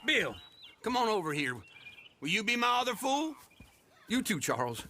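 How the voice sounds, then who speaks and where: An actor playing a wheedling older American outlaw, a lazy good-natured schemer in a video game, raspy, folksy and theatrical. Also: male voice, high-pitched, big pitch swings, moderate pace, bright, forceful tone, a little hiss or hum, full character voice. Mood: wheedling, jovial. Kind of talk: video game cutscene acting.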